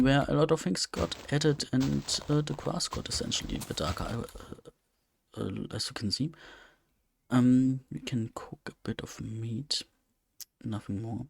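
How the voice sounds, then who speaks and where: A man's voice: mid-range voice, creaky, husky. A man giving casual, unscripted video game commentary, rambling and thinking aloud with hesitation. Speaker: let's play host.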